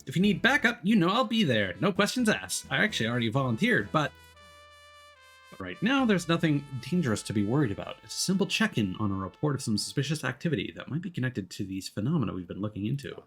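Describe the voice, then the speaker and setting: Man doing a lighter-pitched, teasing loyal teammate. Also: very animated, fast, fairly bright tone, breathy edge, soft background music, heavily acted. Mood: teasing, supportive.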